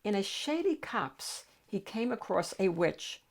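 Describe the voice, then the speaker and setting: A woman's voice: mid-range voice, sing-song, measured pace. A woman hosting a children's read-aloud, narrating a picture book expressively.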